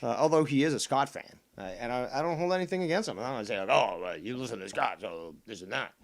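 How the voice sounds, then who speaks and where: Casting: Spanish-first-language Canadian man, mid-pitch, heated, swearing, sarcastic host rebuttal. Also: lively intonation, quick pace, creaky. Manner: combative, profane, sarcastic. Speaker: streamer host. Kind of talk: heated commentary on a clip.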